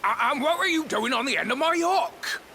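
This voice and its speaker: A man playing a hearty, kindly old fisherman in a video game cutscene, with gravelly, folksy seafarer talk. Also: very high-pitched, expressive, moderate pace, sharp tone, a little hiss or hum, heavily acted. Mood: indignant. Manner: folksy seafarer talk, refers to himself by name. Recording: game music under the voice.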